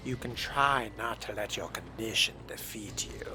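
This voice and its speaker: A man narrating a video game in character, measured and theatrical, with formal wording. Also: lowish voice, over music. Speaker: role-play gamer voicing his character.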